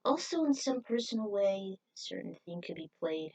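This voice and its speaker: A woman's voice: mid-range voice, very animated, moderate pace, creaky, darkish tone, husky. Woman narrating fan fiction, off the mic. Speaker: podfic narrator. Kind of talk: amateur fan fiction reading with commentary. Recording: amateur recording, uneven mic distance.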